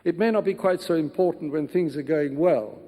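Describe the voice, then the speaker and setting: A man playing a measured, formal British royal consort, with an upper-class English accent and a speech-making delivery. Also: on the high side, mellow, lightly acted. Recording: reverberant hall sound.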